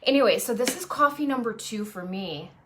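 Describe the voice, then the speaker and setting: A woman presenting traffic and news live from her apartment dining room on a phone, chatty off air and brisk on air, a little sniffly. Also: on the high side, animated, fairly bright tone. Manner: chatty and self-deprecating off air, brisk and upbeat on air. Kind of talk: casual live stream chat and on-air traffic report.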